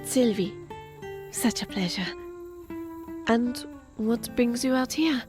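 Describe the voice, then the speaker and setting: A woman's voice: fairly high pitch, slow, music in the background. A woman playing a polite, sweet young bayou lady in an audio drama, genteel and using French courtesies. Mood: grateful, demure.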